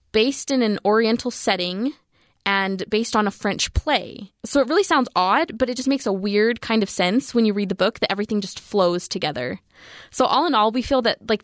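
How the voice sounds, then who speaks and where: A woman's voice: medium pitch, dry recording, studio quality. A woman in her twenties, a student giving a prepared book review. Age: 20s.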